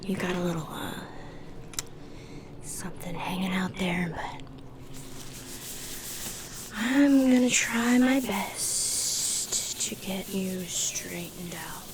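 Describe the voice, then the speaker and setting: Woman doing a mean dark fairy asmr, snooty slow whisper, dismissive, noisy recording. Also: mid-range voice, lightly acted. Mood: menacing, haughty. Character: haughty, sinister female dark fairy healer.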